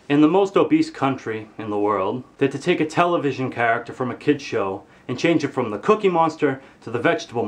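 A teenage boy vents straight into a webcam from his bedroom, playing up his anger for laughs. His voice sits at a middle pitch but leaps high and loud when he gets indignant, then drops to a low grumble. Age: teens. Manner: exaggerated angry ranting, swearing, pitch jumping high when outraged. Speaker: vlogger. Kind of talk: comedic rant to camera. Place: bedroom, indoors.

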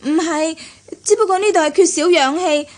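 High-voiced young earnest nurse. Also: female voice, moderate pace, a little mellow. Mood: anxious, pleading. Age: young adult. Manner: earnest, deferential. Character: young, earnest, modest female private nurse. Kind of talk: Cantonese radio drama acting.

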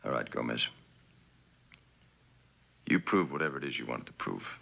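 An actor playing a terse, stoic gunfighter in an old television western, speaking in a laconic deadpan. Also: male voice, rumbling low, normal speed, gravelly, full character voice. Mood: cool contempt. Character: terse, stoic, hard-bitten gunfighter called Indio. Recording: noisy old TV soundtrack, music behind.

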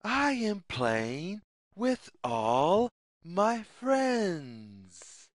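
A woman reading a picture book aloud for young children in a deliberate, sing-song way, in short separated phrases. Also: lowish voice, sing-song, no echo, clean studio sound. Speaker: children's story reader.

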